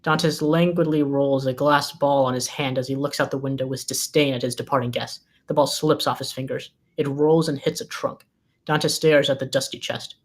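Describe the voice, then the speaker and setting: A man reading scripted screenplay directions as the narrator of a table read. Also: mid-range voice.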